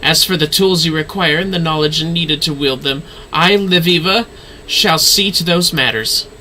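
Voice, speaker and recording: A man's voice: fairly high pitch, normal speed, pushed and bright, slight background noise, pitched above the performer's own voice, low-quality recording. A man voicing a solemn, kindly mystic mentor, reading game dialogue aloud in an earnest, theatrical way. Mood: solemn, reassuring.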